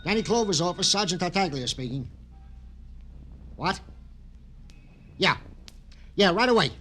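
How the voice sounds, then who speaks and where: Fretful New cop sidekick: higher voice, swooping delivery, eager and simple, old radio drama. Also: male voice, expressive, a little slow, fairly bright tone, full character voice. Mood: excited, eager. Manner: fussy, eager, folksy mangled grammar. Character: fretful, devoted, slow-witted police sergeant sidekick. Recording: noisy old radio recording.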